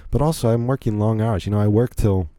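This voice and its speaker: A man's voice: on the low side, quick pace, mellow, no room sound, studio quality. A young man from Virginia hosting a solo podcast, rambling casually with frequent restarts and self-deprecating asides. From Virginia, USA. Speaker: podcast host.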